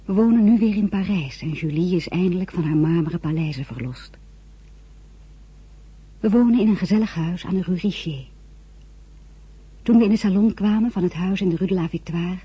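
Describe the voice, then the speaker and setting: A diary narrator in a radio play. The voice is very husky and mellow, sitting high. It reads journal entries as scripted storytelling. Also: male voice.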